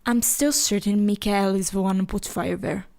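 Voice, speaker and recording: An actress playing a territorial, indignant nature goddess in a fantasy audio drama, exasperated and argumentative. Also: female voice, medium pitch, fairly even intonation, moderate pace, creaky, a little mellow, lightly acted.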